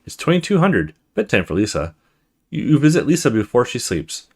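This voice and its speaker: A man's voice: medium pitch, very animated, fairly quick, husky. A man hosting a let's play, reading a horror visual novel aloud in a dramatic storytelling way with casual asides.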